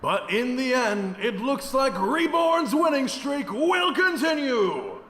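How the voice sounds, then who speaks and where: An actor voicing a showy match announcer in a mecha game, projecting in a sports announcing style. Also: male voice, very high voice, very animated, slow, a bit bright, exaggerated voice. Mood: showy, upbeat. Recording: echoey arena-like hall reverb.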